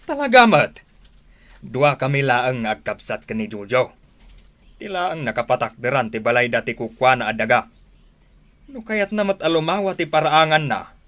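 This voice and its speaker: A man's voice: medium pitch, expressive, moderate pace, pauses often, a bit bright, close to an everyday voice. A man voicing a scheming older brother in an Ilocano radio play, who mocks his sibling with sneering laughs. His voice sits in a middle register, and he speaks with an Ilocano accent. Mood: scornful. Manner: mocking, frequent sneering laughs. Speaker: radio drama actor. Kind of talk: Ilocano radio drama acting.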